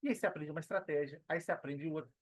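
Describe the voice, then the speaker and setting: A man's voice: fairly high pitch, rapid-fire, dry recording. A Brazilian man speaking casually and emphatically as an interview guest, using colloquial speech and often quoting his own thoughts. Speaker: podcast guest, affiliate marketer. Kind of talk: casual interview talk, telling own experience.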